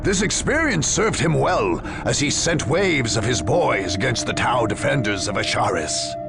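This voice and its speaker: A grave game narrator telling a war saga. The delivery is slow and dramatic, with big swings in pitch and a gravelly edge, over music. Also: male voice. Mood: grave storytelling. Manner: measured, ominous storytelling. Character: grave male chronicler of a grim war saga.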